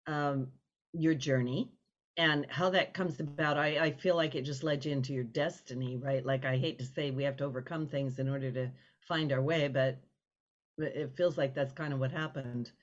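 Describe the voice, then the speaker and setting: A woman's voice: extremely low pitch, fairly even intonation, moderate pace. A woman hosting a casual interview, effusive and rambling as she talks, on slightly lo-fi audio. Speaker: interview host.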